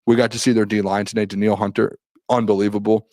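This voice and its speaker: A man's voice: on the low side, little pitch movement, quick pace, mellow, no room sound, studio quality. A young man hosting a sports show, rambling through a practice recap and reading viewer comments while losing his voice. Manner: rambling, lots of restarts, reads viewer questions aloud. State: losing his voice, clears throat. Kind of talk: solo live-stream recap, reading chat comments.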